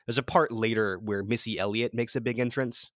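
A man hosting a casual comedy podcast, speaking in a mock-earnest, halting and encouraging way. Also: mid-range voice, steady tone, no room sound, studio quality. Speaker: podcast host.

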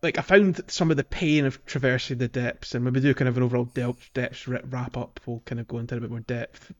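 A man's voice: medium pitch, steady tone, fast. Chatty man on muffled podcast audio. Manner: chatty, laughs easily, many fillers and restarts. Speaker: podcast co-host. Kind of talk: casual chat about a video game.

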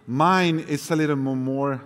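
A man with a Mexican accent, serving as guest preacher, reading scripture aloud in a lively voice and then speaking to the congregation with some hesitant fillers. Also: medium pitch, unhurried. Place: large church sanctuary. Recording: long hall reverb.

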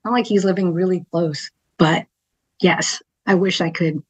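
A woman's voice: on the low side, steady tone, a little slow, slightly rough. A middle-aged woman from Texas, a TV news anchor, talking candidly as a podcast guest, laughing often and tagging "right?" onto her points. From Texas, USA. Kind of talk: casual interview conversation.

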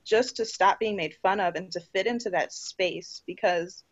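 A woman's voice: medium pitch, normal speed, dry recording, lo-fi sound. Chatty, laughing young woman guest. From New Jersey and the U.S. Virgin Islands. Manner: animated, laughing often, lots of fillers like "like" and "you know". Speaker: interview guest. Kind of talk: casual conversational storytelling.

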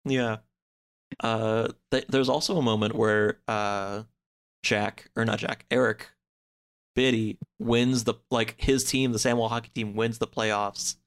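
A man's voice: on the low side, fairly even intonation, a little slow, clean tone. A man chatting casually as a podcast guest, recounting a story in a loose, rambling way peppered with "like". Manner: rambling, loosely pieced-together telling, peppered with "like".

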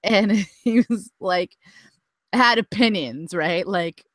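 A woman's voice: mid-range voice, slow pace, a bit bright, no room sound. A woman co-hosting a podcast in loose, casual chat. She giggles often, leans on "like" and lets sentences trail away. Her voice sits mid-range for a woman and sounds dry and close. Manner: giggly, heavy use of "like", trailing off. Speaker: podcast co-host.

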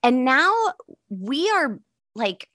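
An American woman of thirty-nine hosting a comedy podcast in casual chat, candid and casually profane, quick to laugh. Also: high voice, big pitch swings, sharp tone, no echo, clean studio sound. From Pittsburgh, United States. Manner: laughs readily.

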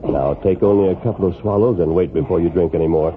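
An American actor playing a calm, commanding masked Old West hero with measured authority, in old radio western style. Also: male voice, sits low, lightly acted. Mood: calm, concerned. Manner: measured, authoritative, clipped questioning. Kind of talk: 1940s radio western acting. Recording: muffled, noisy vintage radio recording.

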